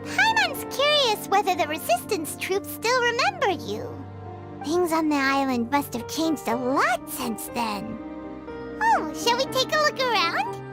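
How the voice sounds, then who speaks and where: A woman voicing a tiny, excitable, curious fairy companion in a video game, with a squeaky childlike voice and an exclaiming manner. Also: unhurried, full character voice. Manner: speaks of self in third person, exclaiming. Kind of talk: English video game voice acting. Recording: loud music behind the voice.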